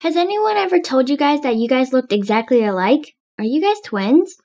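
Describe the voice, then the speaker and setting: A woman plays a friendly, curious housemate in a video game roleplay. Her voice is clearly high, and she talks in a casual, chatty way. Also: big pitch swings, normal speed, a little mellow. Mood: welcoming.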